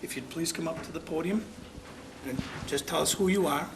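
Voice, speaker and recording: A man's voice: fairly high pitch, fairly quick. A man chairing a town commission meeting, speaking in a folksy, rambling, plainspoken way. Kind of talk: public meeting remarks. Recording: noisy public-access meeting recording.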